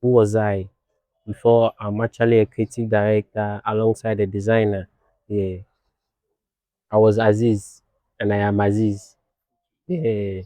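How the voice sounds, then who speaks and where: A young man from Ghana, born in Nigeria, a fashion designer speaking as a guest in a casual interview, soft-spoken and reflective. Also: lowish voice, level tone, unhurried, pauses often, no room sound.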